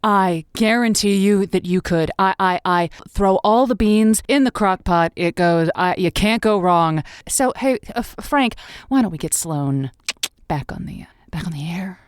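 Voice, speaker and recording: A woman playing an earnest advice host on a comedic audio call-in show, speaking with gentle, hesitant stammers. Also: medium pitch, measured pace, clean tone, dry recording, studio quality, close to an everyday voice. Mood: friendly. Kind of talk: comedic audio drama radio host acting.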